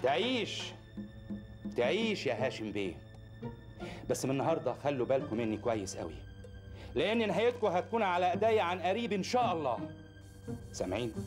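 A defiant young Egyptian villager in a TV drama, high-pitched and quick, hurling threats at his enemies. A dramatic music score plays beneath him. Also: animated. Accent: Egyptian Arabic. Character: defiant young village man, proud and vengeful. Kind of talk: TV drama acting.

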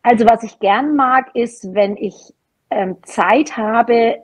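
A woman's voice: on the high side, takes it slowly. A woman in her fifties with a Franconian accent, chatting casually as a podcast guest over a video call. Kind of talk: casual chat. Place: small bright room at a dining table. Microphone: earbuds on a video call.

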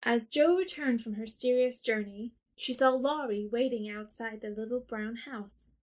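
A woman narrating an audio drama in a measured storybook reading. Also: on the high side, a little mellow. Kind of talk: scripted narration.

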